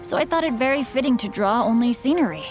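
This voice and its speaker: A woman's voice: fairly high pitch, lively intonation, fast, over music, close to an everyday voice. A woman voicing a polite, bookish young male swordsman and novelist in a video game, speaking in a courteous, formal way.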